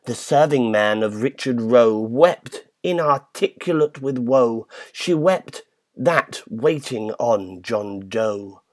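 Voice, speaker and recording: Man, poetry reader, theatrical delivery, very unhurried pace, medium pitch. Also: lively intonation. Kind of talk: dramatic poetry recitation.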